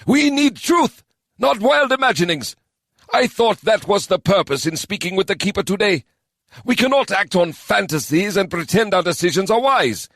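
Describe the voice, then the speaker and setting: A man's voice: fairly high pitch, normal speed, few pauses, no room sound, studio quality, voice pushed up, natural voice. A man doing a stern elven law minister. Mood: frustrated. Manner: stern, raised voice. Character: normally calm male elven minister of law.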